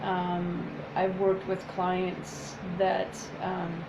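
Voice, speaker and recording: A woman whose first language is French, speaking as a psychotherapist in a soft, hesitant casual chat with many pauses. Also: lowish voice, completely flat delivery, noisy background. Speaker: psychotherapist, co-host.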